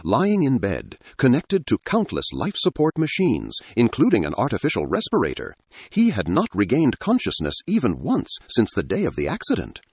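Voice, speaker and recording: A man with a husky voice reading a scripted story as a dramatic narrator. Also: medium pitch, wildly swooping pitch, moderate pace, a little mellow, no room sound.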